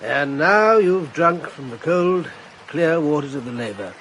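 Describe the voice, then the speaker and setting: An actor playing a middle-aged retired general who also narrates the story, in a radio drama. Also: male voice, fairly high pitch, animated, a bit bright, slight background noise, low-quality recording. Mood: assured. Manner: assured, measured.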